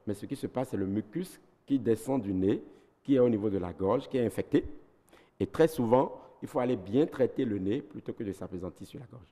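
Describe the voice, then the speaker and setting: A man's voice: medium pitch, brisk, mellow, big-room sound. A man with a Beninese French accent, a doctor giving health advice on a TV talk show, methodical and didactic, listing his points one by one. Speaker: ENT doctor guest.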